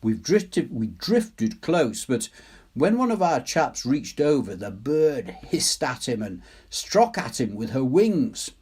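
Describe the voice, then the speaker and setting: A man's voice: mid-range voice, very animated, slow, a little mellow, breathy edge, pitched above the performer's own voice, exaggerated voice. A man voicing a chatty naval officer telling a war yarn in clipped, jaunty phrases, as in a storybook reading. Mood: brisk recounting. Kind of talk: storybook character monologue.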